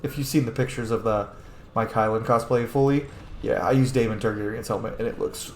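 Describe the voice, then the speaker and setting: A man's voice: lowish voice, steady tone, fairly quick, a little hiss or hum. A man giving casual, sweary commentary as he plays a video game. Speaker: gaming streamer. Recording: roomy echo, game music behind. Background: video game music.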